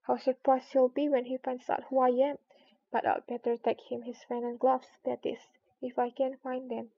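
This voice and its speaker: A woman plays a curious little girl from a storybook, high in pitch. She is polite and wistful, musing earnestly to herself. The sound is close and dry, a little muffled. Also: little pitch movement, normal speed, gravelly, no room sound. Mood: surprised, earnest.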